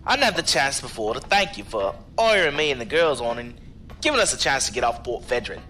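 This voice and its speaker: A man acting as a cautious hired security man in a space radio play, with a bright, forceful voice that swings widely in pitch. He talks in a chummy, colloquial way, respectful yet familiar, with music behind him. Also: very animated, brisk. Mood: friendly. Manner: colloquial, deferential but familiar, calling people sir and mate. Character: cautious, chummy male security contractor with war robots. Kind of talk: audio drama acting.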